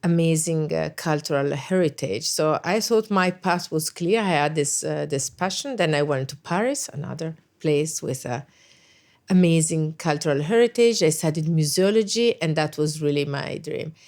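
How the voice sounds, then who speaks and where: A woman's voice: low voice, normal speed, darkish tone. A middle-aged woman, an English speaker, answering interview questions thoughtfully with frequent hesitations and restarts. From Italy. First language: Italian. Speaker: guest, NATO special representative.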